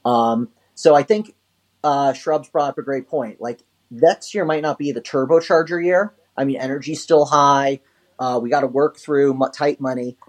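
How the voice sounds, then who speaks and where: A man's voice: medium pitch, steady tone, moderate pace. A man co-hosting a podcast, chatting about markets with frequent hesitations. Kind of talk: casual market commentary.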